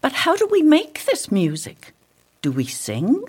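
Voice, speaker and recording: A curious female tree from a storybook voiced by a woman narrator, lifted in pitch above plain narration. She is well-mannered and questioning, with a touch of pride. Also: wildly swooping pitch, unhurried, darkish tone, breathy edge, exaggerated voice. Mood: puzzled. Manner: polite, questioning, slightly proud. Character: Emily, polite, curious young female yew tree. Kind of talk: storybook character voice.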